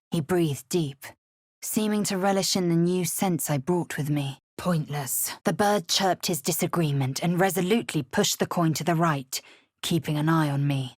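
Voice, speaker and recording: An actress playing a fierce, haughty young githyanki warrior woman in a video game, with a husky, raspy voice and a clipped, imperious, disdainful delivery. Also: deep voice, no room sound, studio quality, exaggerated voice. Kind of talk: scripted video game voice lines and narration.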